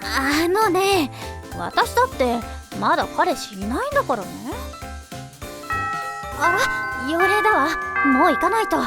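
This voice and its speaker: A high, ladylike voice from a woman actor plays a prim and sensible teenage schoolgirl in an anime voice drama. She teases like an exasperated best friend. Music is clearly audible behind her. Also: big pitch swings, a little slow, heavily acted. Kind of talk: Japanese anime-style voice drama.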